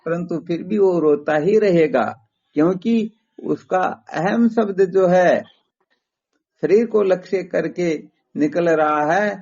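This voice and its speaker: A man with a fairly high voice teaches spiritual philosophy over the phone. He explains methodically and poses rhetorical questions to his listener. The recorded call sounds dull and lo-fi. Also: slow, a little mellow.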